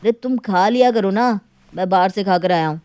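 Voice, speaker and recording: A woman's voice: medium pitch, moderate pace, warm tone, clean tone, soft background music, voice pushed down, lightly acted. Woman acting brusque, irritable young husband. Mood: dismissive. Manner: brusque, dismissive. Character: selfish, irritable young husband, careless and defiant.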